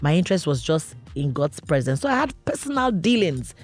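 A woman from Cross River in Nigeria, speaking earnestly as a guest in an interview, with a loud, carrying voice. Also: low voice, big pitch swings, brisk, husky, no room sound, soft background music. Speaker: interview guest, industry veteran. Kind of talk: conversational interview.

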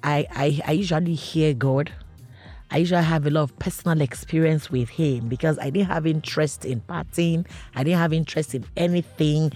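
A woman from Cross River in Nigeria, speaking earnestly as a guest in an interview, with a loud, carrying voice. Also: low-pitched, a little fast. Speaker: interview guest, industry veteran. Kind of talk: conversational interview.

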